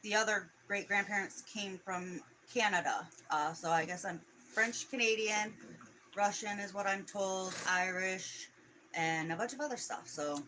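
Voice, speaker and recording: A woman's voice: mid-range voice, unhurried, bright, forceful tone, a little hiss or hum, poor audio. A woman in her forties co-hosting a casual live stream, chatty and chiming in with short agreements. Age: 40s.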